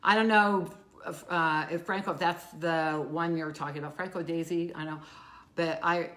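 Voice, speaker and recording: An older woman with a loud, bright, forceful voice co-hosts a casual live stream. She talks in a rambling, chatty way, keeps cutting herself off and starting again, and her speech sits a little low. The sound comes from a phone camera with no clip-on mic. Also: fairly even intonation, moderate pace. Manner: rambling, self-interrupting, chatty. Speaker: co-host.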